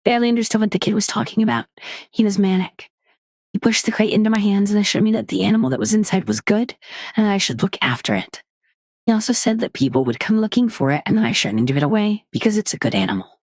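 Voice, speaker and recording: A woman narrates a creepy first-person horror story with a lively, wide-ranging delivery. Her voice sits close and dry, with no room sound around it, and she sinks low and hushed when the tension builds. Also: no echo. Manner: measured storytelling, drops low and quiet for tense moments. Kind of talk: dramatic reading of a horror story.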